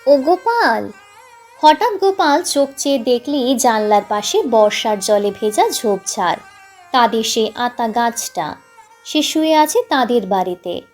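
A woman's voice: a little high, expressive, unhurried, a little mellow. A woman narrating a literary story expressively, audiobook style, with music underneath.

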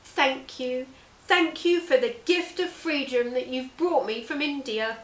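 A woman's voice: sits high, unhurried, pitched above the performer's own voice. A woman voicing a wistful, clever caged parrot from a storybook, in a polite and earnest manner. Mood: grateful. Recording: roomy indoor echo.

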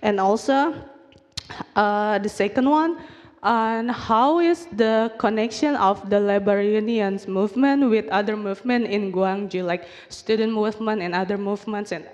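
A woman's voice: mid-range voice, measured pace, a little mellow. Woman, audience questioner, filler-heavy English, echoing hall. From Indonesia. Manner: searching, filler-heavy phrasing. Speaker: audience member asking a question. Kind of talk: question at a forum. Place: large conference hall. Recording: long hall reverb.

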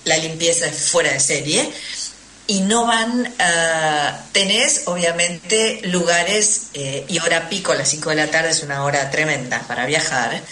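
A woman's voice: sits low, a little slow, sharp tone. An Argentine woman recounting her experiences as an interview guest, conversational and emphatic, repeating words for stress. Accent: Argentine Spanish. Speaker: radio interview guest, traveller. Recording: faint noise, roomy echo.

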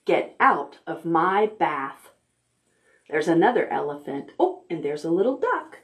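A middle-aged woman hosting a children's storytime, reading aloud in simple, expressive language for toddlers. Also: sits low, measured pace. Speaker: library storytime host. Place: indoor room, recorded on video.

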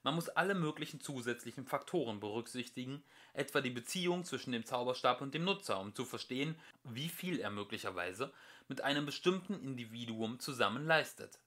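A man reading a scripted audiobook as an amateur narrator, with expressive, varied emphasis. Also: mid-range voice, a little fast.

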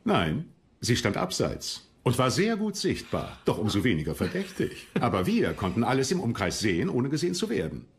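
Regal monarch, formal theatrical phrasing, lively intonation, medium pitch, docudrama. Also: male voice, moderate pace, slight background noise. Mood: storytelling, self-satisfied. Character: regal English king, self-assured storyteller, 17th-century monarch. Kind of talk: German historical docudrama acting.

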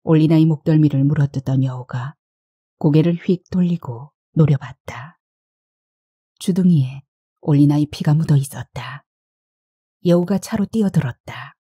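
Female narrator for literary fiction, low and husky, recorded dry and close. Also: dark tone, dry recording. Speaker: audiobook narrator. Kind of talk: scripted literary story narration.

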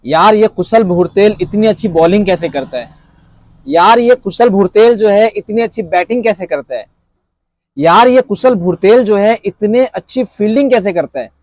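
A young man from India, talking excitedly to camera about cricket with animated, repetitive phrasing and a lapel mic. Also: high-pitched, moderate pace, no echo. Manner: animated, repetitive, gestures with hands. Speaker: cricket reaction vlogger. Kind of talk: excited casual commentary to camera. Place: indoors in front of a backdrop screen.

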